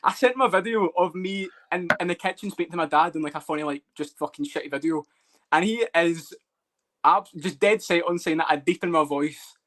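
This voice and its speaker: A man's voice: on the high side, a little fast, a bit bright, a little hiss or hum. A young Scottish man chatting casually as a stream guest, swearing freely and teasing. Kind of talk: casual chat.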